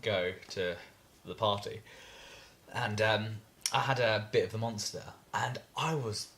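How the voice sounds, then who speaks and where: A young man co-hosting a podcast, chatting casually in a halting way, with many fillers and restarts. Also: lowish voice, fairly bright tone. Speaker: podcast co-host. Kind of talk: casual chat.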